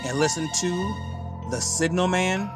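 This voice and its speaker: A man's voice: high voice, a little slow. Male host over prominent music. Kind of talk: scripted show introduction. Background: loud music.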